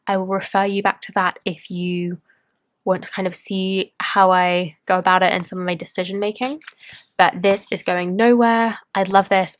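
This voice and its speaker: A woman's voice: medium pitch, no echo. Late-20s woman, casual vlog host, bubbly and rambling, mid-range voice. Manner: bubbly, rambling, self-interrupting. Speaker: planner and tarot vlogger. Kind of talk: casual chatty show-and-tell.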